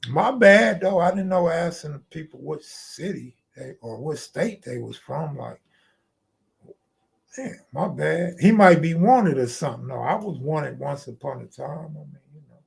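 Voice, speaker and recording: A man's voice: fairly high pitch, lively intonation, measured pace, gravelly. An American man hosting a live stream, chatting casually in slangy, profane, streetwise talk.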